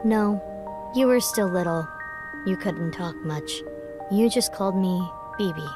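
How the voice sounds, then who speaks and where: A woman playing a calm, composed agent. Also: fairly low pitch, a little slow, lightly acted. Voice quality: calm, matter-of-fact delivery. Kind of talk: video game voice acting. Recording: game audio with background music.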